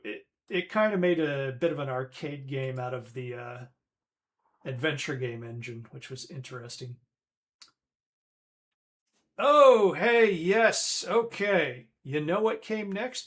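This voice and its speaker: Man, forties, unhurried delivery, rambling casual stream chat. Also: on the high side, fairly bright tone. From Northern Ireland. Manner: rambling, self-correcting, chatty. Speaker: streamer host.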